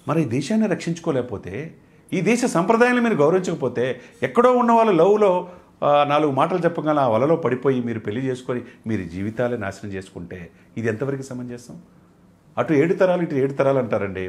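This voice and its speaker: A man giving impassioned political commentary as a guest analyst, emphatic and rhetorical, repeating phrases in lists. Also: on the high side.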